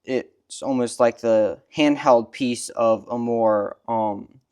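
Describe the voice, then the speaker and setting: A man co-presenting a demonstration, explaining technical details casually in a measured, matter-of-fact manner. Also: mid-range voice, warm tone.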